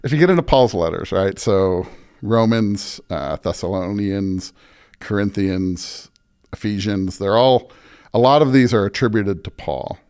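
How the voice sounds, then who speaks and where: A man's voice: lowish voice, animated, a little slow, darkish tone, clear, resonant tone. An American man talking as a pastor in a conversational interview, earnest and emphatic, often checking in with "right?" and "you know". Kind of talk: conversational podcast interview, explaining beliefs.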